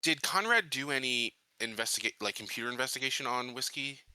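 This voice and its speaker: A man's voice: mid-range voice, expressive, a little fast, pushed and bright, clean tone, no room sound, rough recording. A man playing a tabletop game, mixing improvised roleplay with casual table talk and hesitantly thinking aloud.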